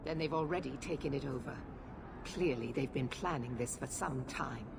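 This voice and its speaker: A woman's voice: rumbling low, normal speed, creaky, background noise. An actress playing a stern, authoritarian female knight commander who talks in curt, impatient orders. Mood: commanding.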